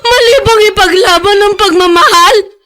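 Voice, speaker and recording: A woman's voice: very high-pitched, normal speed, pushed and bright. A woman playing an anguished, desperate young woman in love, wailing tearfully in melodramatic radio drama style.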